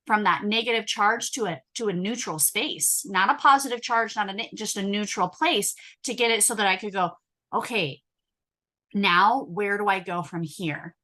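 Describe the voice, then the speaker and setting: Bright-voiced woman telling her story. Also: mid-range voice, moderate pace. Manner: chatty, many fillers and restarts, clears throat often. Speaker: hypnotherapist guest. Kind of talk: casual interview storytelling.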